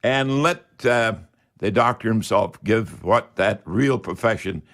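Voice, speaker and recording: A man hosting live call-in radio in a folksy, halting, rambling way. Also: on the low side, animated, a bit bright. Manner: folksy, addresses listeners as folks; halting, rambling delivery with many hesitations. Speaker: radio host.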